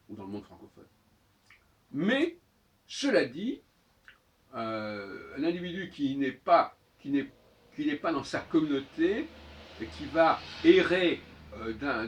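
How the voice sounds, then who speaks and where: A man gives an unscripted expository talk in a halting, didactic manner, often rephrasing and repeating key words. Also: mid-range voice. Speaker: speaker giving an opinion talk. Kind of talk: extemporaneous expository monologue. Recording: voice distant from the microphone.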